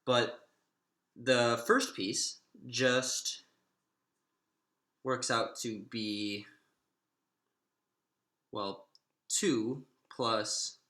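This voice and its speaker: A man giving an informal, step-by-step maths lesson, thinking aloud in a halting way and often restating himself. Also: mid-range voice, fairly even intonation, fairly bright tone. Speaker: maths lecturer. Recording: echoey room.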